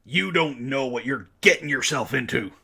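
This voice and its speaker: A man's voice: medium pitch, lively intonation, normal speed, gravelly, pushed and bright, slightly rough, exaggerated voice. Man acting a furious, blustering politician. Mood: threatening. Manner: menacing, blustering. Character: furious, threatening, proud male politician.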